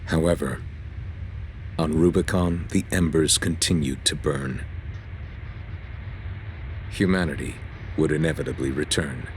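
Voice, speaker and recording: A man playing a grave narrator of a sci-fi game prologue, measured and portentous. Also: a bass voice, slight background noise. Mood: grave, ominous. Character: grave male narrator of a doomed sci-fi history.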